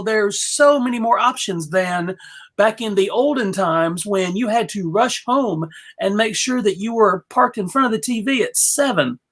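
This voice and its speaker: A middle-aged woman hosts a relaxed panel chat. She speaks informally at a middle pitch, guiding the conversation in a chatty way. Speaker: panel host. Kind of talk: casual chat, moderating a panel.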